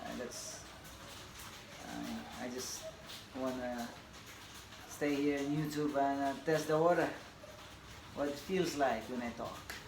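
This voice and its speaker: A Filipino man in his forties, a tennis coach whose first language is Bisaya, introducing himself in a halting, casual way with many fillers and laughs. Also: medium pitch, vocal fry. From Philippines. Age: 40s. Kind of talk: casual self-introduction to camera. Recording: echoey room, audible background noise.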